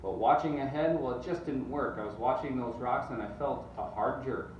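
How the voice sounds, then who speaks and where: A man reads a rhyming storybook aloud with animated, rhythmic delivery. His medium-pitched voice sits back from the mic in an echoey room with faint noise. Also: moderate pace, highs cut off. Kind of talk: expressive storybook read-aloud. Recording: distant mic, roomy echo, faint noise.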